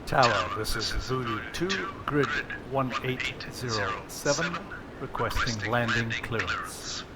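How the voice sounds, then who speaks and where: A man with a mid-pitched voice presents a flight sim tutorial. He speaks in set phrases like a pilot on the radio. A loud engine drone runs behind him throughout. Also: slow, heavy background noise.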